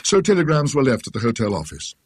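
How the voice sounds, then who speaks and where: A British man narrating a classic ghost story in a dry, scholarly, measured literary manner. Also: mid-range voice, huge pitch swings, husky, dry recording. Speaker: audiobook narrator.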